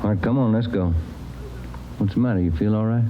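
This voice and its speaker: An actor playing a polite but firm police detective sidekick in an old radio crime drama. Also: male voice, fairly low pitch, a little fast, slight background noise, natural voice. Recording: dull vintage radio recording, music.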